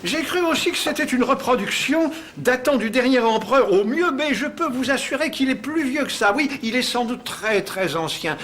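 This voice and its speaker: Man acting very high-voiced persuasive antique dealer. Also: expressive, normal speed, hardly any pauses, fairly bright tone. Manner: persuasive, assuring salesmanship. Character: smooth-talking male antique dealer, insistent salesman. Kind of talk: fan dub acting of a fantasy series. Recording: amateur dub with faint background noise.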